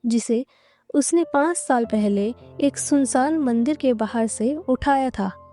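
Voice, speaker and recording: Mellow, higher-pitched woman storyteller. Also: takes it slowly, faint music behind. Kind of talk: scripted narration of a moral story.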